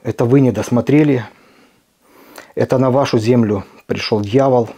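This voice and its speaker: A middle-aged man from Ukraine who runs a video blog. He gives an impassioned address straight to camera, speaking earnestly and emphatically with deliberate pauses. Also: medium pitch, warm tone. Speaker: video blogger.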